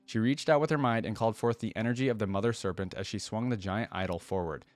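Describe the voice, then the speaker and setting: A man with a fairly low voice and a close, dry sound hosts a reaction video. He reads fantasy prose aloud and drops in informal, slangy asides of his own. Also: fast talker, dry recording. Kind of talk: reading a fantasy story aloud with casual commentary.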